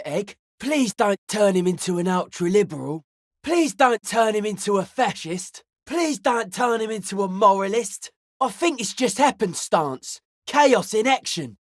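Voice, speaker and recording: A man's voice: sits high, dry recording, clean studio sound. A man playing an intense young hardcore raver in a video game, with a slangy, preachy, grandiose way of talking. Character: intense young male hardcore raver, drug-loving, anti-authority philosopher. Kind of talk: video game character dialogue.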